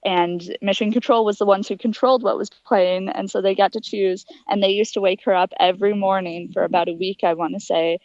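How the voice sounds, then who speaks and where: A woman's voice: mid-range voice, steady tone, fairly quick, few pauses, darkish tone, dry recording, highs cut off. A young adult woman giving conversational, explanatory answers as a guest in an interview. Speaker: interview guest, aspiring astronaut.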